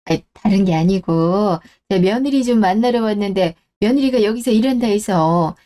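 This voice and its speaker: A woman's voice: mid-range voice, normal speed, warm tone, natural voice. A woman playing an elderly, doting mother and grandmother in a radio drama, apologetic, sighing and rambling as she talks. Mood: puzzled, polite. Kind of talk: Korean radio-drama story acting.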